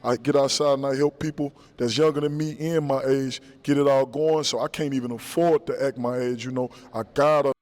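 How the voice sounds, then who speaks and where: A man's voice: medium pitch, fairly even intonation, fairly quick, hardly any pauses, darkish tone. Laid-back young man in a reverberant room. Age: 20s. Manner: laid-back, boastful slang. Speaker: dating show contestant.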